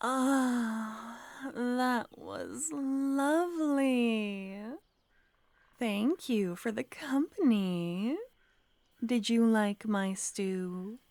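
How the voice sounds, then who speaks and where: Woman playing a slow, whispery possessive witch. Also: on the high side, lively intonation, no room sound, full character voice. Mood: intimate. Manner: soft, teasing, often whispered. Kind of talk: ASMR roleplay.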